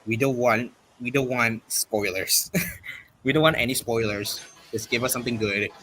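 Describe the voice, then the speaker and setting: A man chatting casually as a livestreamer doing reactions, speaking haltingly and repeating himself. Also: mid-range voice, sharp tone, a little hiss or hum, poor audio.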